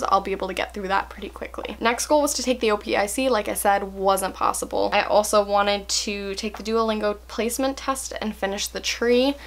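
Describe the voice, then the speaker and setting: Chatty young woman vlogging progress. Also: mid-range voice. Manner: chatty and candid, with frequent fillers and soft laughs. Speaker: language-learning vlogger. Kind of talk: casual talk to camera.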